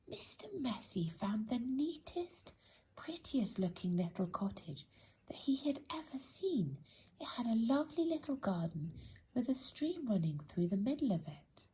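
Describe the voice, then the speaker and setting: A woman reads a children's bedtime story expressively and deliberately, speaking directly to young listeners with a breathy, husky voice. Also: on the high side, big pitch swings, mellow, poor audio.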